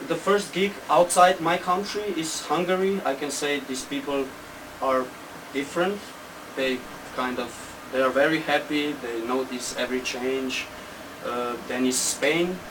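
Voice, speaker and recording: Recorded at a distance under loud background noise, a young man from Slovenia speaks English with a Slovenian accent. He gives casual interview answers, choosing simple words and halting as he goes. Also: mid-range voice. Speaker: interview guest, techno DJ and producer.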